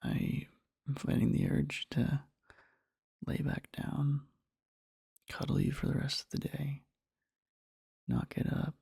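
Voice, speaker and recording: Guy doing a sleepy loving boyfriend asmr, soft mellow husky whisper, slow and halting, dry close mic. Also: medium pitch, no room sound, exaggerated voice. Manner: slow, halting, rambling, tender. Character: devoted adoring sleepy boyfriend murmuring tenderly in bed. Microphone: close-up microphone, dry.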